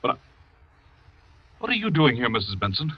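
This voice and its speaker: Casting: a defensive, pleading suspect husband, husky voice, dry dull old radio sound. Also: male voice, medium pitch, big pitch swings, normal speed, no echo. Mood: flustered. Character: man accused of poisoning his missing wife. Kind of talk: old-time radio drama acting.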